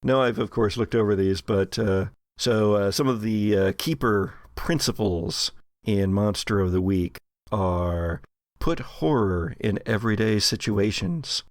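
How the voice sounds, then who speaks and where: A man hosting a tabletop game podcast as game master, mixing dramatic, deliberate narration with casual, dryly humorous chat. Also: on the low side, no echo, studio quality. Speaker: host and game master of an actual play podcast.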